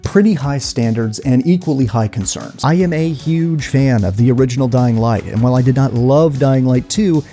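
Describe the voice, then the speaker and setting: A man hosting a gaming video, narrating a casual scripted review in a jokey, profane, expressive way. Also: medium pitch, moderate pace. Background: background music.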